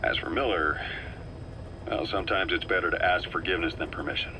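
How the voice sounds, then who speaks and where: Guy playing low voiced army officer in a game, calm n calculating, persuasive, noisy recording. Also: lightly acted. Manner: measured, assured, reasoning patiently. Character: calm, persuasive, calculating male military officer, middle-aged. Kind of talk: dramatic game voice acting.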